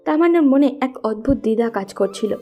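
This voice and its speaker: A woman's voice: on the high side, animated, fairly quick, mellow. A woman narrating a scripted romantic story in a storyteller's manner. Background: faint background music.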